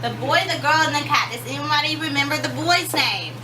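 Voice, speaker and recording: High-voiced woman teaching in an echoey room. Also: a little fast. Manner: repeats children's answers back to them. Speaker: student teacher leading young children. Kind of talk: classroom question-and-answer with young children. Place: classroom, indoors, away from the mic. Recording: echoey, noisy classroom from far off.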